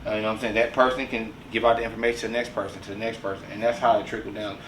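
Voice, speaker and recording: A man's voice: on the low side, flat delivery, very fast, fairly bright tone, reverberant, far from the mic, slight background noise, rough recording. A man in his forties hosting a casual podcast discussion, talking earnestly and preachily and often asking "you know what I'm saying?". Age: 40s. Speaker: podcast host.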